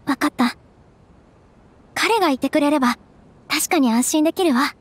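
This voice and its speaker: Game noble lady, young woman, caring, soft refined delivery, very high pitch, actress. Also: normal speed, a little hiss or hum, close to an everyday voice. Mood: concerned. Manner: soft, polite, refined speech.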